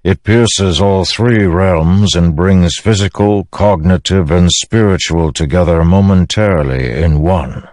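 A man's voice: low-pitched, darkish tone, dry recording, pitched above the performer's own voice. A man voicing an ancient, grave storm spirit in an audiobook, speaking in weighty, formal phrases. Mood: solemn, grave. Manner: slow, weighty, formal speech.

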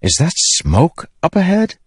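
A man's voice: a little high, huge pitch swings, unhurried, husky, no room sound, clean studio sound, voice pushed up, heavily acted. A man voicing an exasperated, brooding young warrior with terse speech in a fantasy audiobook. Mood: concerned.

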